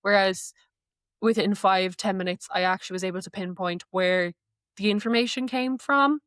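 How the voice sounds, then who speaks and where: Clear-voiced woman explaining in an interview. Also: mid-range voice, normal speed, fairly bright tone, no echo, studio quality. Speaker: guest, AI knowledge manager. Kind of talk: explanatory interview answers, work walkthrough.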